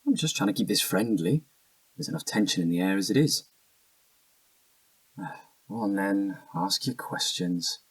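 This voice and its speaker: A man's voice: low-pitched, expressive, unhurried, long pauses, slightly rough, dry recording, recorded from a distance, heavily acted, low-quality recording. An actor playing an evasive, defensive young British man in a naturalistic audio drama, hesitant and slangy. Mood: guarded, friendly.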